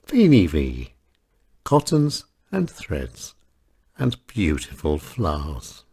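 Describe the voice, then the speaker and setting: A man reading his own short stories as a scripted narration, in deliberate, emphatic short phrases. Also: a bass voice, wildly swooping pitch, warm tone, husky.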